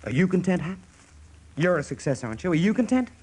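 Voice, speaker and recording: A man's voice: high voice, sing-song, quick pace, darkish tone, slightly rough, heavily acted. An actor in a radio play voicing a restless, unsettled young American salesman who yearns for the outdoors. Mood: frustrated, searching.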